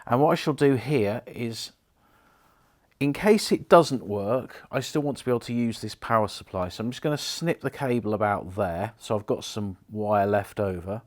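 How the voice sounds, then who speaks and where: A middle-aged man with a British accent and a fairly low voice. He talks casually and without hurry, like someone presenting a repair at the bench, explaining each step as he goes. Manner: unhurried, informal, explains step by step. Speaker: presenter of a repair video, former radio engineer. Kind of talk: casual hands-on demonstration commentary.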